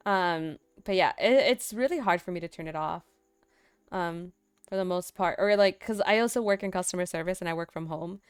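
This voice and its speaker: A young woman streamer in casual chat at home, talkative and laughing often, acting out quotes in a higher voice. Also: moderate pace, clear, resonant tone, dry recording, studio quality. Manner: chatty, laughs often, acts out quotes in a high voice. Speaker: streamer host. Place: home room.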